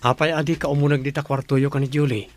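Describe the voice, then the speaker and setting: A man playing a warm-hearted, easygoing older brother from a poor household in an Ilocano audio drama. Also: medium pitch, steady tone, fast, natural voice. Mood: caring, teasing.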